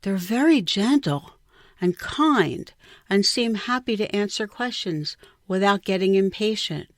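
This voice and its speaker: A woman giving an expressive scripted reading of a personal short story. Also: medium pitch, unhurried, dry recording, studio quality. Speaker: writer or reader of a short story.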